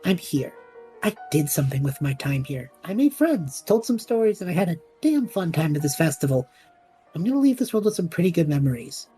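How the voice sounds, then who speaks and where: A woman's voice: on the low side, big pitch swings, fairly quick, mellow, soft background music. A woman playing a brash, sarcastic young wanderer in an audio drama, talking casually. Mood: defiant. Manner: casual, flippant. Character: brash, sarcastic young wanderer named Trevor, warm toward kids.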